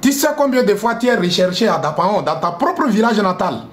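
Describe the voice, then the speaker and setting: Scornful high-voiced man. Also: big pitch swings, a little fast, echoey room. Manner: scornful, repetitive, switches between French and a local language. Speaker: live streamer addressing viewers. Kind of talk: heated live-stream rant.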